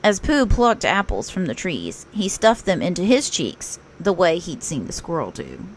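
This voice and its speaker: Animated woman reading a children's storybook. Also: on the low side, moderate pace, a little hiss or hum, rough recording. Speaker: teacher reading aloud. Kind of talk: expressive children's storybook narration.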